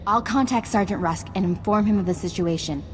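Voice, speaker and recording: A woman playing a composed, resolute Jedi master in a video game, speaking in a measured, earnest and authoritative way. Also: mid-range voice, a little hiss or hum, lightly acted. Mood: focused. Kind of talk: scripted video game acting. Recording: game music behind.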